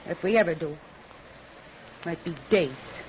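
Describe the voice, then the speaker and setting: An actress playing a sharp, nervous, scheming rural American woman in an old-time radio drama. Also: lowish voice, a little slow, natural voice. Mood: anxious, scheming. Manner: edgy, folksy country speech. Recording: muffled vintage radio recording, faint hiss.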